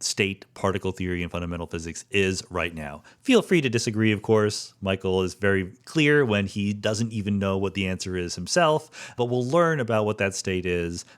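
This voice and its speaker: A middle-aged man hosting a podcast interview, speaking in an articulate, measured way with lively questions. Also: lowish voice. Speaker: podcast host.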